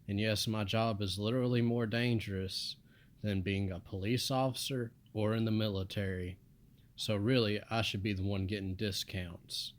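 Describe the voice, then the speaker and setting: A young man from the United States rambling in a flat, monotone voice with mumbled asides. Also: lowish voice. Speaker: vlogger. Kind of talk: casual rambling monologue.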